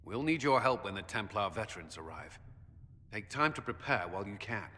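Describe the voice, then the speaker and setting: A blunt military commander from a fantasy game. His voice is lowish and hard-edged, authoritative and disapproving in temper. It rings slightly in a hall. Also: lively intonation, fairly quick, pushed and bright. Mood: businesslike. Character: stern male military commander, disapproving and dutiful. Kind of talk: video game cutscene acting. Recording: hall reverb, faint game music.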